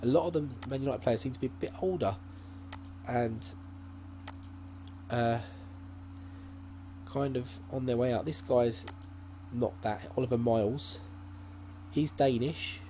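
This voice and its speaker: A man's voice: medium pitch, a little slow, long pauses, mellow. A man hosting a gaming channel, giving casual, rambling commentary at his laptop with lots of fillers and restarts. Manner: rambling, thinking aloud with many fillers and restarts. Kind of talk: casual let's-play commentary. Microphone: desk mic at a laptop. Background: faint hum from a laptop.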